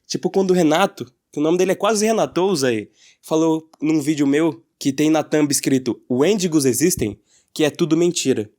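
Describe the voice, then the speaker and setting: Brazilian man, slightly higher-pitched voice. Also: normal speed, a little mellow. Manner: informal, slangy. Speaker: YouTuber. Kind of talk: casual opinion commentary.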